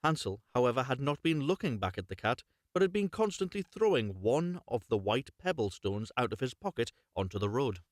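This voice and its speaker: A man narrating a storybook live and unrehearsed, in a measured storytelling manner with occasional stumbles. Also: mid-range voice, big pitch swings, dry recording, clean studio sound. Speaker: storyteller, voice-over reader.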